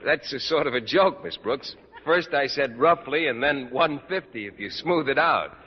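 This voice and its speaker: An actor playing a shy, earnest biology teacher, hesitant and literal, in a vintage radio sitcom. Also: male voice, medium pitch, lively intonation, moderate pace, lightly acted. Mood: awkward. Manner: hesitant, awkward, literal-minded. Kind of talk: 1940s-50s radio sitcom acting. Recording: very noisy, muffled vintage radio recording.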